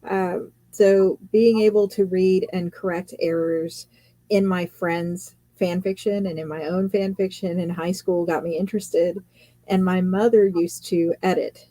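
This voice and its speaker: A woman, a fantasy author and editor, telling her story in a casual live chat, chatty and quick to laugh, often quoting what others said. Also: on the low side, fairly even intonation, mellow, dry recording, poor audio.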